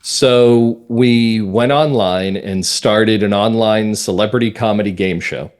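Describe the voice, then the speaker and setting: A man's voice: on the low side, a little slow, darkish tone. A man chatting casually as an interview guest, telling anecdotes about his creative work with enthusiasm. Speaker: podcast guest, audio drama writer and producer.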